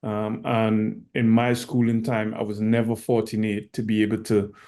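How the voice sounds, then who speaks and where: A middle-aged man from Trinidad and Tobago, an assistant coach answering interview questions in a measured way, with many pauses. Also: fairly low pitch, completely flat delivery, warm tone.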